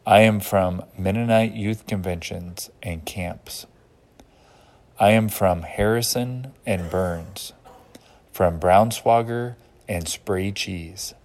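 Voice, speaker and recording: A man reading a personal poem aloud in a measured, listing recitation. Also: deep voice, darkish tone.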